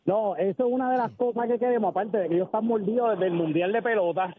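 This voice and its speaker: A man's voice: high-pitched, fast talker. A man calling a sports show over a phone line, chatting casually in slang. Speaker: caller.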